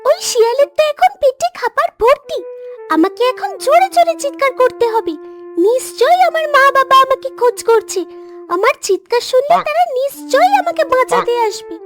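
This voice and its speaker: Tiny, squeaky-high pleading duckling. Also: female voice, lively intonation, normal speed. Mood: anxious. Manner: pleading, plaintive. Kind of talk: cartoon dialogue. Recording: music behind the voice.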